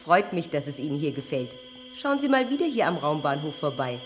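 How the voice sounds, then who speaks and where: Chatty space station receptionist lady, lower voice, helpful and breezy, radio play. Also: big pitch swings, a little fast, soft background music. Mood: friendly, helpful. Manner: chatty, obliging.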